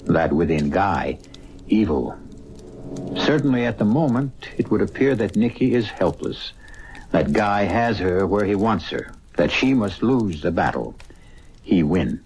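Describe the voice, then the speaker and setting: A man hosting and narrating a radio mystery drama in an ominous, measured, old-time dramatic style. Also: deep voice, animated, darkish tone, a little hiss or hum. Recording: music under the voice. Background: music.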